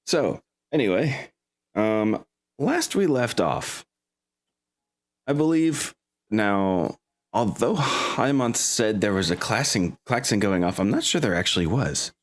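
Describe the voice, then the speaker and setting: Slow, low-voiced man running a game. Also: expressive. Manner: rambling, self-correcting. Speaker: game master. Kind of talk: casual chat running a tabletop game.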